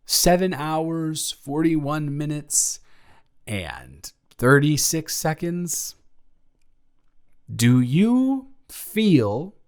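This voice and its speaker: A man playing a nervous, lovestruck young college dormmate in an intimate romantic audio drama, earnest and wordy, often stammering. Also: medium pitch. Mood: nervous, earnest confessing.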